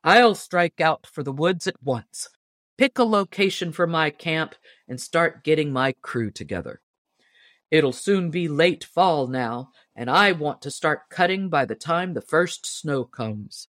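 A woman voicing a big, confident, good-natured lumberjack man in a storybook, brisk and decisive. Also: low voice, animated, fairly bright tone, no room sound, studio quality. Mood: brisk, commanding. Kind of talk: storybook character voice.

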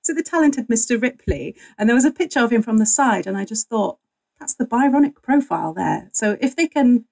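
A woman's voice: fairly high pitch, expressive, brisk, gravelly, darkish tone, poor audio. A woman speaking as a guest author and historian, explaining things in a casual, informal, slightly wry way.

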